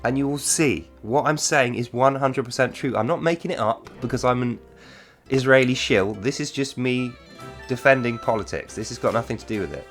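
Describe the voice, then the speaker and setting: A man of ordinary pitch speaks straight to his camera, laying out his case in a combative, lecturing way. Faint music sits quietly behind his voice. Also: moderate pace. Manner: argumentative, lecturing, talks straight at the viewer. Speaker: video creator. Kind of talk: direct-to-camera response video.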